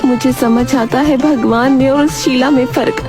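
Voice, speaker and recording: A woman voicing a naive, stubborn talking cow in an earnest, plaintive way for an animated children's story. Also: sits high, moderate pace, over music, voice pushed up. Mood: suspicious.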